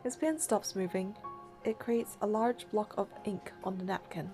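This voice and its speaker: Mellow, skeptical young game heroine, acted by a woman. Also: mid-range voice, normal speed, faint music behind, lightly acted. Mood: measured, curious. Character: practical, skeptical young heroine narrating her own story. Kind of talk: English-dubbed game acting with first-person narration.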